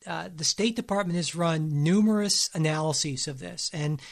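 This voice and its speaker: Higher-voiced man talking politics. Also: normal speed, gravelly. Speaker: political podcast co-host. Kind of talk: casual political discussion.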